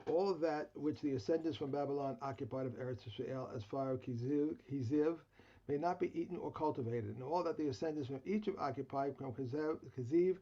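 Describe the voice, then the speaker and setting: A man's voice: a little high, mellow, muffled recording. A man who is losing his voice reading a text aloud in a study session over a video call. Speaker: study partner.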